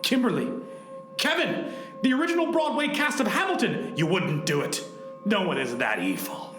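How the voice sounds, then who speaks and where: A man's voice: high voice, big pitch swings, normal speed. A man playing an earnest young hero in a comic radio play, narrating and speaking with wide-eyed dramatic urgency. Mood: pleading urgency. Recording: roomy echo with music underneath.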